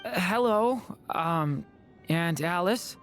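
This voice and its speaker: A woman voicing a shy, gentle young boy in a video game, earnest and polite, with a hesitant, halting way of talking. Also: on the low side, lively intonation, soft background music. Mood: shy, unsure.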